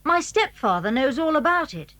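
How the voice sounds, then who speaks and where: Eager, animated British schoolboy. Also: female voice, sits high, normal speed, full character voice. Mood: eager, proud. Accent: British English. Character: clever, secretive schoolboy showing friends his house. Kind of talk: 1980s children's audio drama acting.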